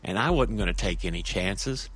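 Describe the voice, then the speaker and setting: Man playing a halting, nervy street storyteller. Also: low voice, expressive, background noise. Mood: hushed storytelling. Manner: halting, stammering, conversational, with tag questions. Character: nervy, rambling street-wise man recounting a frightening encounter. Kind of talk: audio drama first-person horror monologue.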